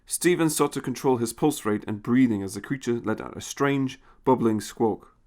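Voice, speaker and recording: A man's voice: medium pitch, normal speed, darkish tone. A man reading a scripted science fiction story as its narrator.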